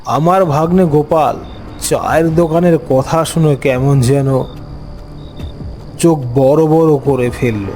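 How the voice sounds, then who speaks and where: A man narrating a scripted first-person story as an uncle, with unhurried, expressive dramatic delivery. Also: fairly high pitch, soft background music.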